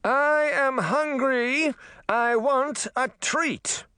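A man's voice: very high-pitched, extremely slow, sharp tone, smooth, dry recording, studio quality, pitched above the performer's own voice, full character voice. A man voicing a spoiled, gluttonous mermaid princess from a storybook, imperious and whiny, dragging out demands. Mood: demanding, petulant.

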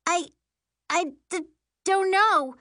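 A woman's voice: high voice, animated, unhurried, a bit bright, no room sound, clean studio sound, pitched above the performer's own voice. A woman voicing an irritable, easily flustered young girl witch, the younger sister in an audiobook.

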